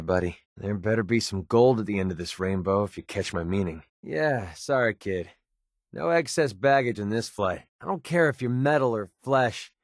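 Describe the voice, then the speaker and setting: An actor playing a wry, world-weary young mercenary in a video game, with an American accent and a casual, sarcastic way of talking. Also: male voice, on the low side, a little mellow, dry recording, clean studio sound. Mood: somber, then cool, tough. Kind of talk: video game character voice lines.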